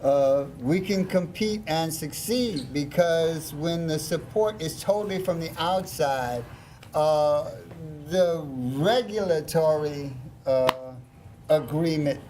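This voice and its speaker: Casual male interview host. Mellow, unhurried, repetitive and halting delivery. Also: a little high. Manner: halting, repeats himself. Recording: faint background noise.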